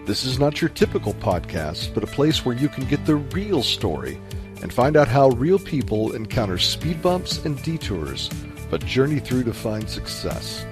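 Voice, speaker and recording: A man's voice: medium pitch, sing-song, normal speed. A man delivering a polished, scripted podcast intro as the show's announcer. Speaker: show announcer. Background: music.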